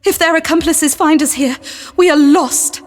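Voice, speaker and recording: A woman's voice: sits high, moderate pace, big-room sound, lightly acted. A woman playing a brave, imploring young abducted lady in a period audio drama, speaking with urgent melodramatic pleading. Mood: distressed explaining.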